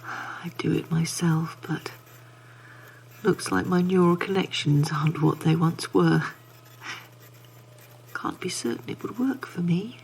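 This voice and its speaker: A woman's voice: sits low, unhurried, creaky, long pauses, a little mellow, a little hiss or hum, poor audio. A woman acting in an audio drama as a sinister comforter, coaxing in a whispery, soothing way. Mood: soothing, eerie.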